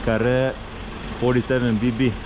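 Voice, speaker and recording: A man's voice: mid-range voice, flat delivery, a little fast. A man in his thirties casually chatting on a walking tour, easily impressed as he films himself outdoors with a handheld camera. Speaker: vlogger. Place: outdoors on city streets. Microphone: action camera held at arm's length. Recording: outdoor street noise, passing cars.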